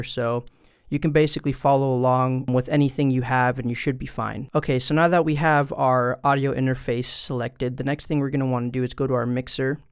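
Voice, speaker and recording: Man, tutorial presenter, smooth clear voice, mid pitch, informal step-by-step explaining, studio mic. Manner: informal, talking directly to viewers. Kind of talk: casual step-by-step instructional explanation. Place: indoors at a desk. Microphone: studio microphone through an audio interface.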